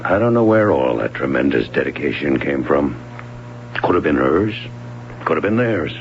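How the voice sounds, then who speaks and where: An actor playing an evasive, offhand swimming coach questioned by a police sergeant in an old radio drama. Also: male voice, extremely low pitch, very animated, moderate pace, heavily acted. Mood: guarded. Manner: casual, evasive, chuckling. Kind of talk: 1970s radio drama acting. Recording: muffled old radio broadcast with hum.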